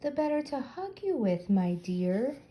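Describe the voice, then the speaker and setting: A sly storybook wolf, as a woman reader puts him on. He is greedy and crafty, coaxing his prey in a very soft-edged, mellow tone. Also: high-pitched, sing-song, unhurried, voice pushed up. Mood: falsely sweet. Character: crafty, greedy male wolf posing as a grandmother.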